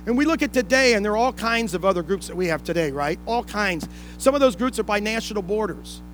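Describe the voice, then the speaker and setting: A man's voice: high voice, animated, fast, a bit bright, faint music behind, rough recording. A man preaching a sermon to a congregation, explaining point by point with rhetorical questions and repeating key phrases for emphasis. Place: indoor room, speaking to a congregation.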